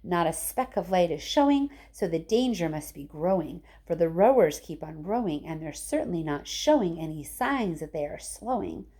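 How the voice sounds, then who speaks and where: A woman's voice: on the low side, expressive, normal speed, few pauses, warm tone. A woman voicing a gleeful, bossy, eccentric man who makes chocolate in a storybook, exclaiming and giving commands. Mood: gleeful chanting.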